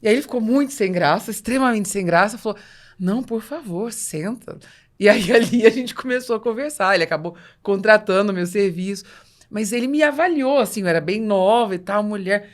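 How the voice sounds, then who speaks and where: A woman's voice: mid-range voice, fairly quick. A woman with a Brazilian accent and a mid-range voice hosts a business podcast. She chats conversationally, tells personal stories with animation and acts out the dialogue as she goes. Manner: animated storyteller, acting out dialogue. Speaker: podcast host and business coach.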